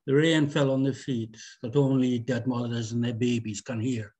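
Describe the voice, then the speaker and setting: A man's voice: mid-range voice, fairly even intonation, moderate pace, mellow, husky, lightly acted. A man playing an earnest, brooding literature student and poet, in short statements at a script reading. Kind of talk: script table read.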